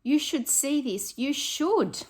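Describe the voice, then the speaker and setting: Slow-paced woman reading to children. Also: sits high. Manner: expressive, encouraging, addresses young children directly with questions. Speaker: primary school teacher reading to pupils. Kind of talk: picture book read-aloud with chatty asides to children.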